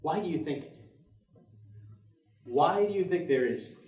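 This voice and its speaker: A man's voice: on the high side, expressive, a little slow, darkish tone. An actor playing a bleak, world-weary male professor in a staged dramatic reading, formal, articulate and fatalistic. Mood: weary, polite. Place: theatre stage. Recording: echoey theatre, distant microphone.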